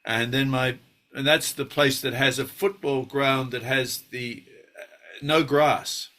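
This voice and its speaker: An Australian man in his sixties tells his story with a bright, forceful edge to his voice. He is wry and self-deprecating, and his speech is full of ums and restarts. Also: mid-range voice, fairly even intonation, unhurried. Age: 60s. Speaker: actor guest. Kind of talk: relaxed interview reminiscing.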